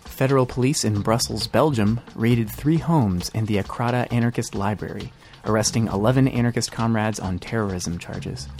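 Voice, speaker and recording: A man co-hosting a podcast, reading scripted news and commentary in a measured, articulate way. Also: fairly low pitch, darkish tone, soft background music. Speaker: podcast co-host.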